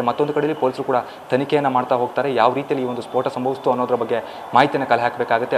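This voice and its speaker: Young guy doing a live news report, talks really fast and wordy, lots of background noise. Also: medium pitch. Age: young adult. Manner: wordy, repetitive phrasing. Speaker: field reporter. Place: hospital entrance. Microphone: handheld reporter microphone. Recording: clearly noisy live location feed.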